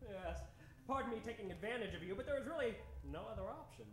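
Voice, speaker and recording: A man playing a pompous, ardent gentleman doctor in a melodramatic old-time radio adventure parody. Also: high voice, lively intonation, fast, roomy sound, rough recording. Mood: suave, sheepish. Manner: melodramatic, declamatory.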